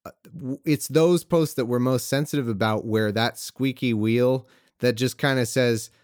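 A man's voice: mid-range voice, normal speed, a little mellow, no room sound, studio quality. A man hosting a podcast conversation who backs up his guest with frequent replies and talks in a rambling way. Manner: supportive, gives frequent backchannel replies, rambling.

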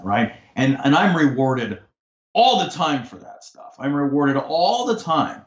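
A man in a podcast conversation, talking in an assertive, impassioned way that turns to swearing when he gets heated. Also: mid-range voice. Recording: roomy, dull lo-fi sound.

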